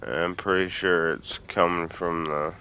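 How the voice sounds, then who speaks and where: A man hosts a gaming video with a deep, forceful voice. He speaks slowly and informally, chatting straight to viewers as he plays and swearing freely. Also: fairly even intonation, slight background noise, poor audio. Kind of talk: casual let's-play commentary.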